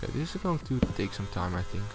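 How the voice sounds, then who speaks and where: Low-voiced man over loud music. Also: big pitch swings, fast. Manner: informal, unscripted, thinking aloud. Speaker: video tutorial host. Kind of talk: casual gaming tutorial commentary. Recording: music behind the voice.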